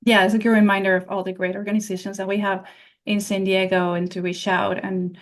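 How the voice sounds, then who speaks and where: Even-toned woman moderating a panel. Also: medium pitch, fairly quick. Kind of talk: panel discussion.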